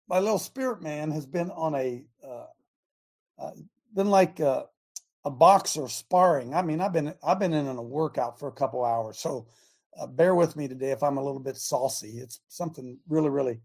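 A male talk show host with a scratchy, husky voice worn by chronic laryngitis and a lingering cough. His tone is dark and mellow. He rambles loosely, then drives his points home with fervent, emphatic preaching. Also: fairly high pitch. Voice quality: raspy, scratchy voice. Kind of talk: fiery preaching talk radio.

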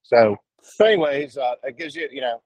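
A man's voice: mid-range voice, lively intonation, normal speed, a little mellow, dry recording. A man explaining something on a live show, talking in a hesitant way with many ums. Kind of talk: casual live chat.

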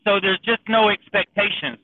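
A man's voice: high-pitched, normal speed. A man joining a church discussion, earnest and reflective. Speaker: group member. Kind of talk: casual discussion. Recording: tinny phone line.

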